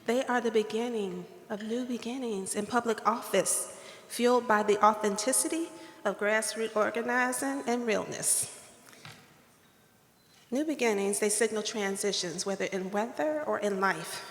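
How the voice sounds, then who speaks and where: A woman's voice: fairly high pitch, normal speed. A woman with a light, girlish voice gives a playful, preacherly awards-dinner speech at a podium microphone, drawing call and response from the room. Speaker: keynote speaker at awards dinner. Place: hotel ballroom. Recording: echoey ballroom, reverb.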